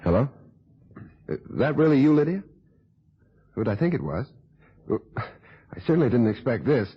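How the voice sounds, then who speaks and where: A man's voice: mid-range voice, sing-song, normal speed, breathy edge. An actor playing a puzzled, somewhat exasperated male visitor in a radio thriller, musing and hesitant. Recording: muffled, dull old radio drama recording.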